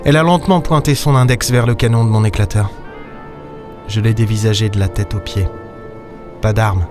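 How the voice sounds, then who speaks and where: An actor playing a cynical, impulsive space captain in a sci-fi audio drama, with a sardonic, gruff, dismissive way of talking. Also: male voice, on the low side, steady tone, normal speed, close to an everyday voice. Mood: wry, brooding. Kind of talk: sci-fi audio drama, noir-like first-person narration and dialogue. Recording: music under the voice.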